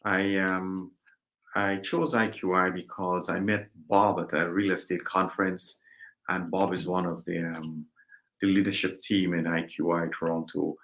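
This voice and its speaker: A man from Guyana, a guest realtor answering interview questions in a measured, hesitant way with many ums. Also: sits low, steady tone, a bit bright, low-quality recording.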